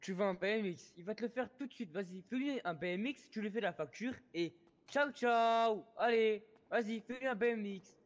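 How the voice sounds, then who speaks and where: A man's voice: high voice, moderate pace. A brash, foul-mouthed man speaking exasperated street slang in casual online game roleplay banter. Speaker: GTA roleplay player.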